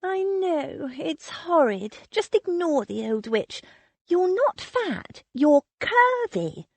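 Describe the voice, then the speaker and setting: A woman voicing an eager, impulsive young woman in a storybook reading, speaking brightly and quickly to reassure. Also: extremely high pitch, sing-song, no echo, voice pushed up, exaggerated voice. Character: warm, eager young woman, loyal cousin.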